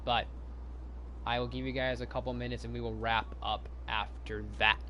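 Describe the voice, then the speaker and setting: A man's voice: medium pitch, flat delivery, normal speed, pushed and bright. Man, live stream host, casual chat, rambling, repetitive, restarts sentences, noisy background. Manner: rambling, repeats himself, breaks off and restarts sentences.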